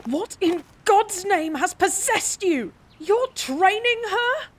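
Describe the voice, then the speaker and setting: A prim, overprotective guardian from a fantasy audio drama. She scolds in a very high voice that swings widely in pitch, taking her time over each reproach. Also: animated, slow pace, exaggerated voice. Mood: indignant. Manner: scolding, indignant, clipped. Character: stern protective female guardian, strict and fussy. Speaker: princess's guardian.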